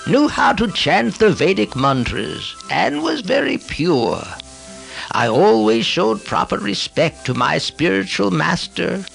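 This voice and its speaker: Man acting as remorseful fallen priest of ancient India, very gravelly, animated swooping pitch, solemn audiobook dramatization. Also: exaggerated voice, poor audio.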